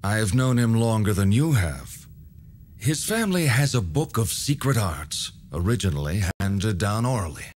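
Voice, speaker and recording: Old game alchemist man, deepish, very expressive intonation, courteous but mysterious, bit of noise behind. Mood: instructive. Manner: measured, formal, cryptic. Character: old alchemist hermit, courteous, knowing, quietly bitter. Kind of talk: video game cutscene voice acting.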